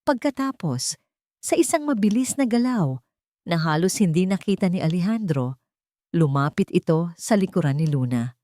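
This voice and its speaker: A woman's voice: fairly low pitch, animated, moderate pace, warm tone, no room sound, studio quality. A woman giving a dramatic scripted narration of an audio drama.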